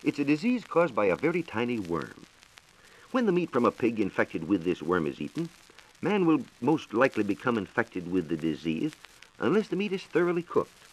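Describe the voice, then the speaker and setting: A man's voice: fairly low pitch, poor audio. An American man giving a scripted, formal science talk on 1940s radio, explaining things in a declamatory way. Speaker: science reporter. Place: radio studio. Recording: hissy 1940s radio transcription disc.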